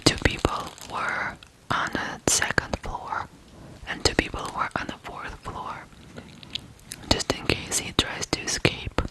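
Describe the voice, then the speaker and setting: An ASMR host from Ukraine whispering a true crime story in a hushed, deliberate way with pauses. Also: low-quality recording.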